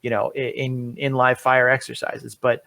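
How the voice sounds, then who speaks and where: A man's voice: mid-range voice, level tone, moderate pace, gravelly, sharp tone, dry recording. A young man co-hosting a hockey podcast, chatting casually and rattling off lists of players. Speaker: podcast co-host.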